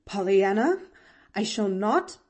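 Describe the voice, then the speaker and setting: A woman's voice: medium pitch, very animated, slow pace, darkish tone. A woman voicing a stern, easily flustered middle-aged aunt in an audiobook, scolding and protesting indignantly. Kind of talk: audiobook character voice.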